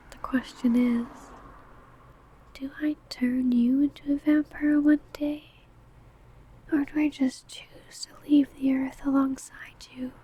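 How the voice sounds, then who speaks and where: A woman's voice: high-pitched, dark tone, husky, full character voice, poor audio. A woman playing an ancient, possessive, doting female vampire in a whispered, intimate ASMR roleplay, crooning breathily. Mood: tender, doting.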